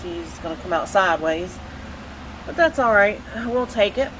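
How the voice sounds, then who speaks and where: A woman's voice: medium pitch, lively intonation, normal speed, noisy background. A woman with a Southern US accent and a stuffy head cold, rambling casually with many fillers as she walks through her plant shelves. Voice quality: nasal when bending over. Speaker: orchid grower giving a plant tour. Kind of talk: casual walk-through vlog narration.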